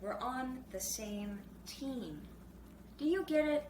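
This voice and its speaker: Woman as a fed up grumpy older boy in a kids story, high voice, swoopy, noisy recording. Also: expressive, slow, a little mellow. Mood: exasperated, emphatic. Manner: groaning, irritated, emphatic. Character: exasperated older brother, grumpy weary boy. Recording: roomy, distant mic, clearly noisy background.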